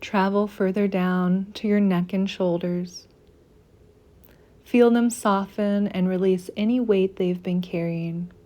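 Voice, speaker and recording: Woman doing a guided meditation, really slow and mellow, soft soothing delivery with little pauses. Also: medium pitch, steady tone. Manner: soft, soothing, unhurried, gently pausing.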